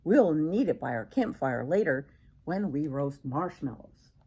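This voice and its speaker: An older woman voicing a kindly, patient man in a children's storybook, gently instructive. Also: low-pitched, animated, unhurried, slightly rough, full character voice. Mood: friendly. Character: kindly man, a monkey's patient, cautioning friend.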